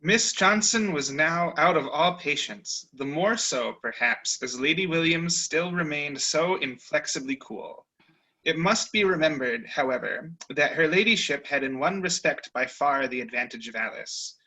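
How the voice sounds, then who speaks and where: A man narrating a comic period story in a wry, deliberate way over a video call. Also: a little high, lively intonation, bright, forceful tone, rough recording.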